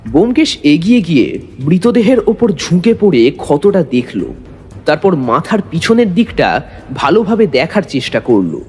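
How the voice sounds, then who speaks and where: A man gives dramatic scripted narration for an audio story. His fairly high voice has very animated intonation, with music playing behind it. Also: moderate pace, husky.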